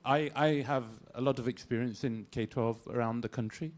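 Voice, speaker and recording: A man's voice: medium pitch, steady tone, brisk. A man in a conference audience asking the panel an earnest, winding question. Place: conference hall.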